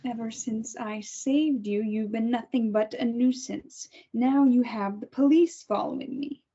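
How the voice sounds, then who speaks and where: A woman voicing a scheming mother, husky, mellow, higher voice, dull lo-fi sound. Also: a little slow. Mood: accusing. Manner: cold and defensive. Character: controlling, lying mother woman, middle-aged. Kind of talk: amateur table read. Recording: dull lo-fi recording.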